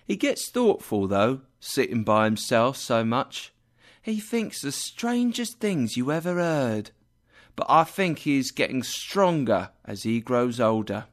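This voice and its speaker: A man voicing a gentle, kindly clerk and father who speaks softly. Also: medium pitch, sing-song, measured pace. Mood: warm. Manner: soft, kindly, pleading. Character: gentle poor male clerk, loving father.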